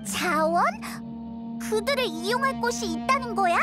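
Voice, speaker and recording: A woman voicing a small, childlike fairy companion in an anime game, curious, excitable and earnest, with expressive, naive delivery. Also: moderate pace, loud music behind the voice. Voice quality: squeaky, childlike.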